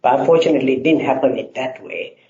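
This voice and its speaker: A middle-aged man from Sri Lanka, answering interview questions in a halting way with many filler sounds. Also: mid-range voice, warm tone, a little hiss or hum. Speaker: guest engineer. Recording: roomy, slightly dull sound.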